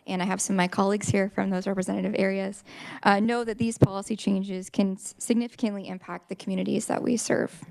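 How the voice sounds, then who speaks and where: A woman giving prepared testimony to a legislative committee for a housing nonprofit, steady and earnest. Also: mid-range voice, creaky. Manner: steady, earnest delivery with some ums. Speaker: invited speaker for a housing nonprofit. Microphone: committee table microphone.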